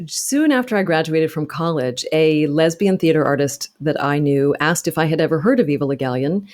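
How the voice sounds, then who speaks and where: A woman's voice: sits low, a little mellow. A woman in her thirties speaking as an interview guest, explaining things in an articulate, detailed and passionate way. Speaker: interview guest, actor and author. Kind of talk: conversational interview, storytelling.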